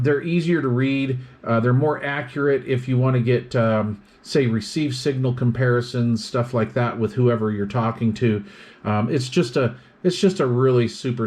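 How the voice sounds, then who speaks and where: A man's voice: medium pitch, a little mellow. A man giving a casual hands-on product review, explaining things step by step.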